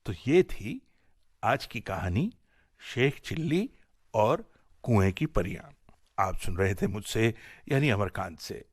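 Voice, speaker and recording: A man with an Indian accent tells a folk tale for radio. His pitch moves widely and expressively through each sentence, and the recording is close and dry. The delivery is scripted and dramatic. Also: slow, long pauses, husky, dry recording. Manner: dramatic, animated storytelling delivery. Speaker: storyteller and presenter on a radio show.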